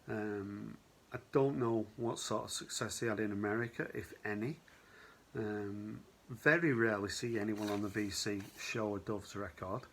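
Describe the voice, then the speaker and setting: A man's voice: lowish voice, fairly bright tone, a little hiss or hum. A middle-aged man from Manchester with a mild Manchester accent, chatting casually about his record collection as he counts down a list. He rambles and fills his talk with lots of "um"s and "you know"s. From Manchester, England. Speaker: vinyl record channel host. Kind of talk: casual chat presenting a countdown.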